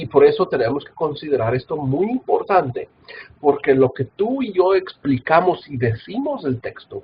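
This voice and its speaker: A man's voice: mid-range voice, lo-fi sound, slight background noise. Earnest Mexican man lecturing on scripture. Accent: Mexican Spanish, Guadalajara. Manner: earnest, many filler "ah" and tag questions. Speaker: Bible institute professor. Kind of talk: recorded classroom lecture.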